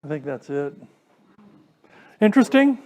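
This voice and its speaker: A man's voice: a little high, very animated, measured pace, a little mellow, poor audio. A man presenting an informal lecture in a conversational way, often checking in with his listeners. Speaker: class presenter. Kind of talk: informal lecture to a radio club.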